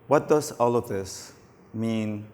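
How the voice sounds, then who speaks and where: A man's voice: on the low side, slow pace, darkish tone, slight background noise. Man giving a talk, hall reverb. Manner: casual, anecdotal, many fillers and likes. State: losing his voice. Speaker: conference speaker. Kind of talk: conference talk on stage. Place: large conference hall.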